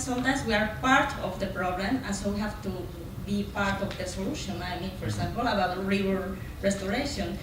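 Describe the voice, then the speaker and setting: A woman giving a spontaneous comment in a discussion, searching for words and repeating phrases. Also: mid-range voice. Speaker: audience participant. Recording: very echoey room, distant noisy pickup.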